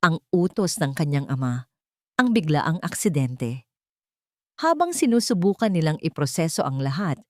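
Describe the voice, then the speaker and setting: Woman, Filipino accent, dramatic story narrator, lowish voice, animated intonation, dry close sound. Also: no echo.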